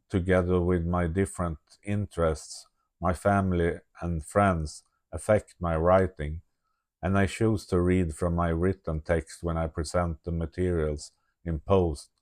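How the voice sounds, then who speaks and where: A man's voice: low voice, darkish tone. A middle-aged man from Sweden with a Swedish accent, reading a prepared diary-style vlog in English with careful pacing and small self-corrections, recorded on a phone with an external microphone. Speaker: vlogger.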